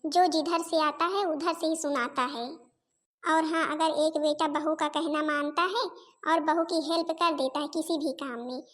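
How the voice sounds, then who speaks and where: Casting: woman acting as a very high-voiced cartoon housewife, put-upon, pleading and talkative. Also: steady tone, moderate pace, fairly bright tone. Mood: resolute, aggrieved. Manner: complaining, pleading, addresses relatives and viewers directly. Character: weary, gossipy Indian daughters-in-law in a family cartoon. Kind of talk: Hindi family comedy cartoon voice acting.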